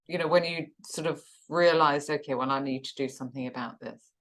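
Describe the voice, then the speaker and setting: A woman's voice: low voice, a little fast, fairly bright tone, poor audio. A woman hosting an interview, sympathetic and fond of tag questions. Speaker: podcast host. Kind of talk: casual interview chat.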